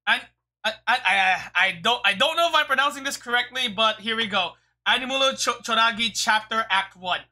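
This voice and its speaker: Very bright-voiced woman rambling on stream. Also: fairly high pitch, fairly quick. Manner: rambling, stammering, sweary. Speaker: livestreamer. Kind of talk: casual game stream commentary. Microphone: streaming microphone.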